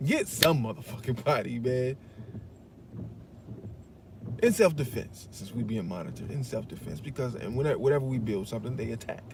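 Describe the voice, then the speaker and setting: A man talks at a mid pitch in a loose, rambling monologue, swearing often and leaning on fillers like "you know what I'm saying." A steady, loud rumble of road and vehicle noise runs under his voice the whole time. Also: normal speed, long pauses. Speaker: commentator.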